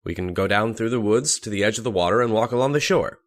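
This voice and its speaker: An actor playing a calm, practical family doctor who reasons things out in an old-time radio horror drama. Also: male voice, fairly low pitch, fast, background noise, lightly acted. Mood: practical, steady. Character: steady, reassuring male family doctor.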